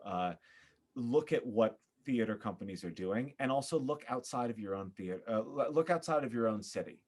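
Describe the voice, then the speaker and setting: A man speaking as a podcast guest in a casual interview, earnest and effusive, often pausing to think. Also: lowish voice, a little mellow. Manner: earnest, effusive, halting with thoughtful pauses. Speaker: podcast guest, theater performer.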